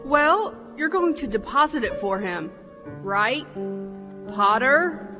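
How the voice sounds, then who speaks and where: An actress playing a principled, outspoken state bank examiner in a stage radio play, forthright and indignant. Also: female voice, high voice, lively intonation, slow pace. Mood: suspicious. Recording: reverberant theatre hall, music in recording.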